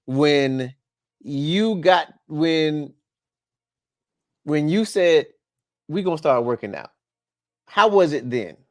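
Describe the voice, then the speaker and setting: A man hosting a podcast, joking and animated in casual chat. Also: fairly high pitch, slow pace, long pauses, no echo, studio quality. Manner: joking, animated, stumbles and restarts. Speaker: podcast host.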